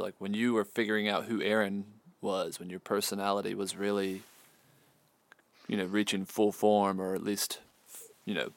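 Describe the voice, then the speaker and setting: A man's voice: on the low side, no echo. A man hosting a casual interview, easygoing and affirming toward his guest.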